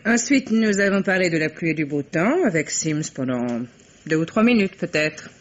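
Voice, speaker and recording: A woman's voice: on the low side, expressive, normal speed. An actress playing a cynical, defiant woman suspected of murder in a vintage French radio mystery, cool and sardonic. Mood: cool, factual. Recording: dull old radio-play recording.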